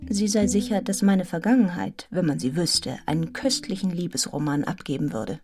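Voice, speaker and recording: An actress playing an earnest young village schoolmistress who tells her story in a measured, articulate radio drama narration. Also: lowish voice, expressive, a little mellow, slightly rough. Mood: reflective. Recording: music behind the voice.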